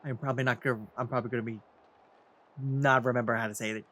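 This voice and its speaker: A man's voice: medium pitch, steady tone, quick pace, bright, forceful tone. A man hosting a let's play, reading game text aloud and commenting casually. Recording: game music behind the voice.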